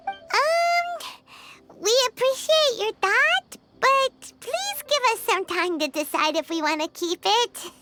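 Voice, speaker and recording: A woman's voice: very high voice, expressive, slow, heavily acted. A woman voicing a tiny, chirpy fairy-like companion girl who talks about herself by name. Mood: hesitant. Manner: bubbly, refers to herself by name. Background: loud game music.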